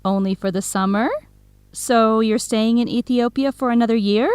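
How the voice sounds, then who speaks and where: A young woman playing a supportive, excitable best friend in an educational radio drama, eager, expressive and full of questions. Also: fairly high pitch, moderate pace, clean tone, no echo, lightly acted. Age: young adult.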